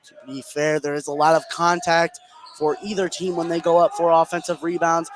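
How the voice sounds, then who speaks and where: Higher-voiced man calling basketball over loud noise. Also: level tone, brisk. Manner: narrates action in short running phrases. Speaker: play-by-play commentator. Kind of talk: live basketball radio play-by-play. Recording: noisy live gym broadcast.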